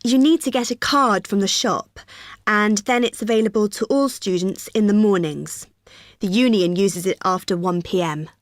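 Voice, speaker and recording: A woman's voice: mid-range voice, moderate pace, dry recording, studio quality, natural voice. A woman playing a friendly union receptionist. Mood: helpful. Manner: friendly, helpful, explaining patiently. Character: cheerful young female union helper. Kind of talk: scripted exam listening dialogue.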